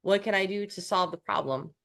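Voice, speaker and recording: A woman's voice: medium pitch, brisk, vocal fry, a bit bright. A woman from New York co-hosting a coaching podcast, talking in a candid, self-deprecating way with frequent restarts. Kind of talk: casual podcast chat.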